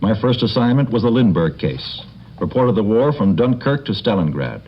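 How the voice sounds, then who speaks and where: A man from New York and the American Midwest, a news correspondent, reciting his record in a crisp, clipped way. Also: lowish voice, sing-song, normal speed, slight background noise. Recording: dull lo-fi 1950 radio broadcast.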